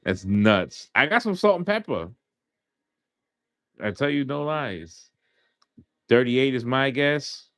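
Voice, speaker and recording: A man's voice: medium pitch, animated, slow pace, long pauses, a bit bright, smooth, no room sound, studio quality. A man in his thirties hosting a casual livestream, joking and rambling in slangy talk. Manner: joking, rambling, often says "you know what I'm saying". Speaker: livestream host.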